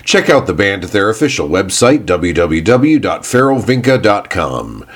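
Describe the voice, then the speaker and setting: A man's voice: sits low, big pitch swings, normal speed, husky. A man reading a scripted announcement introducing a radio interview.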